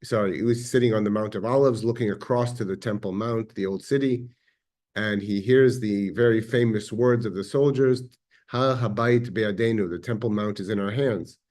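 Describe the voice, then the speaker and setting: A man with an Israeli accent giving a measured, reflective history lecture, pausing now and then with fillers. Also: fairly low pitch, a little mellow. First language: Hebrew.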